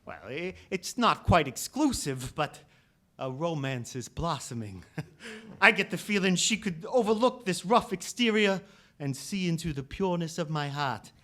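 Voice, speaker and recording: A man's voice: on the high side, expressive, a little slow, a bit bright. A man playing a big, petulant mafia tough guy with a sentimental streak, theatrical and blustering, in a 1940s-style radio play. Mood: smitten, sentimental. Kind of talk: 1940s radio comedy-mystery acting. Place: stage before a studio audience.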